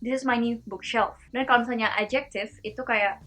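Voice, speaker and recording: A woman from Indonesia hosting a casual vlog-style lesson, chatty and slowing down to demonstrate words one at a time. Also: a little high, steady tone, fairly bright tone, faint music behind. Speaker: video host teaching pronunciation.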